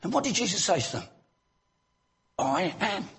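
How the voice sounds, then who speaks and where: A male preacher delivering a sermon in a church, his voice unusually high and very husky. He swings widely in pitch, rising into loud exclamations and dropping low for rhetorical questions to the congregation. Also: sing-song, moderate pace.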